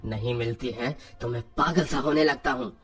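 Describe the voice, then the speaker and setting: A man's voice: mid-range voice, normal speed, a bit bright, breathy edge. Casting: man playing a drunken, domineering young cartoon husband, harsh and scornful, music underneath. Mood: aggressive, demanding. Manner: harsh, scolding, contemptuous.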